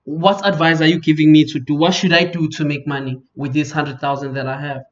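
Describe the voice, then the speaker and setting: Man, 30s, South African accent, podcast host, emphatic and animated, higher voice, dull lo-fi sound. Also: fairly quick. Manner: animated, emphatic, repeats himself for stress. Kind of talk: casual interview chat.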